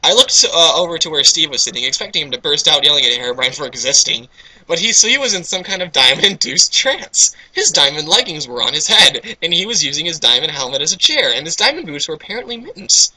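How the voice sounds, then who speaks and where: A man's voice: fairly high pitch, big pitch swings, fast, bright, forceful tone, low-quality recording. A young man giving a casual, irreverent comedic reading, breaking off into banter and swearing. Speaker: reader and commentator.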